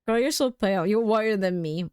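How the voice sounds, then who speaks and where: A young woman chats with her stream audience, giggling and rambling through loose, filler-heavy sentences. She sits close to a desk mic in a dry room with no echo. Her voice sits at an everyday pitch for a woman. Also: a little fast, a little mellow, clear, resonant tone. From United Kingdom. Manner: chatty and giggly, many "like" fillers. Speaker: game streamer. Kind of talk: casual chat with stream viewers.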